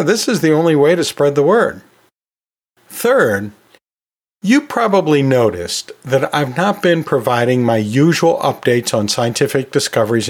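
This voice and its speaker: A man hosting an educational podcast solo, talking in a conversational, folksy way with joking asides. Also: mid-range voice. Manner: folksy, jokey asides with chuckles. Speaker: podcast host, anatomy and physiology teacher.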